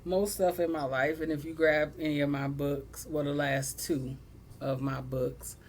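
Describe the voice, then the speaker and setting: A young adult woman hosting a casual sibling podcast, blunt and animated, cussing freely and laughing often. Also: sits low, a little slow, a little hiss or hum. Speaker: podcast host.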